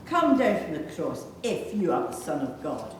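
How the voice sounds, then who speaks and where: A woman's voice: low-pitched, sing-song, normal speed. Woman reading as a mocking accuser in an echoey church. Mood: taunting. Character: mocking, accusing chief priests and crowd of scripture. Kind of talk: dramatized church scripture reading. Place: large echoey church interior. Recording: distant, echoey church, faint noise.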